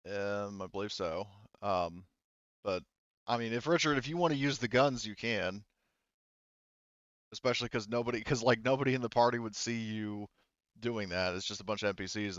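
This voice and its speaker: Clear-voiced man running a tabletop game. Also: lowish voice, fairly bright tone, no room sound, highs cut off. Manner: halting, casually profane. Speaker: game master. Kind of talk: running a tabletop roleplaying game, casual improvised narration.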